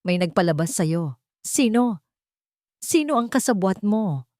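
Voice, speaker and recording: A woman's voice: mid-range voice, expressive, measured pace, darkish tone, no room sound, clean studio sound, natural voice. Woman voicing a cold, wounded male CEO. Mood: cold, accusing. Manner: curt, commanding.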